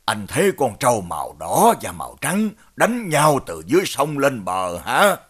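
A man voicing a learned village elder in an animated fairy tale, deliberate and pondering as he reads omens. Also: medium pitch, slightly rough, full character voice.

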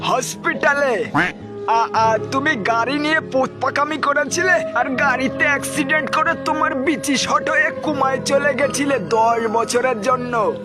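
A man's voice: extremely high pitch, a little slow. A man voicing crude, goofy young cartoon characters in a clownish, exaggerated comic dub. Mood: comic bewilderment. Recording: loud music behind. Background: loud music.

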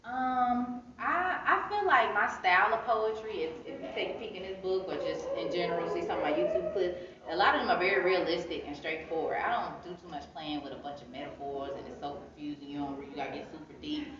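A woman with an American accent chatting informally as an interview guest, telling stories in a casual, animated way. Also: fairly low pitch, fairly quick, few pauses, noisy background. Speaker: interview guest, poet and author. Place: indoor arts center room, seated. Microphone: phone camera a few metres away. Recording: echoey room, distant muffled phone-camera sound, chatter.

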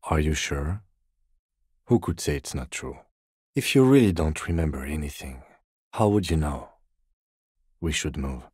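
An actor playing a composed, dry-witted middle-aged police detective in a video game, speaking in a measured, formal, deadpan way. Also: male voice, extremely low pitch, breathy edge, no echo, heavily acted. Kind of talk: video game character dialogue.

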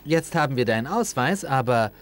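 Man as a youthful, animated game hero. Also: mid-range voice, fairly quick, close to an everyday voice. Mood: casual. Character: young, easygoing male furry alien hero. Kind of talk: animated game cutscene acting.

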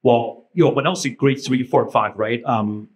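A man's voice: mid-range voice, normal speed. A man whose first language is Cantonese, sharing casually at a panel table, rambling and friendly with frequent "right?" tags, into a handheld mic in a meeting room.

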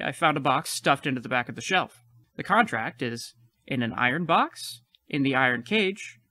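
A man's voice: fairly high pitch, bright, forceful tone, no echo. A man playing a frightened but defiant, clever child in an audio play.

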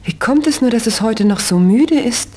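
A woman playing a puzzled, affectionate mother in a children's audio play, with a husky voice. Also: a little high, expressive, normal speed, darkish tone.

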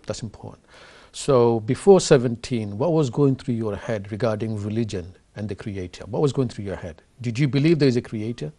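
A man's voice: mid-range voice, brisk, hardly any pauses, warm tone. A man in his thirties hosting a studio interview, asking earnest, eager questions with many fillers. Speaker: host. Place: TV studio set.

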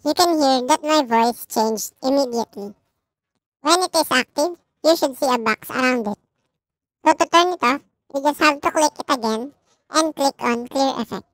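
A man narrating a software tutorial through a voice changer that turns his voice into a baby's. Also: moderate pace, no room sound, low-quality recording. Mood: matter-of-fact. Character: high-pitched baby voice from a voice changer. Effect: pitch-shifted baby voice changer.

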